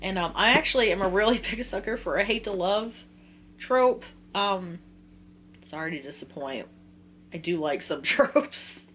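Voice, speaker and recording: A woman's voice: on the low side, a bit bright, slight background noise. A woman in her twenties talking casually and informally to viewers as a book vlogger, giggly and often interrupting herself. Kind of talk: casual chatty book list talk to viewers.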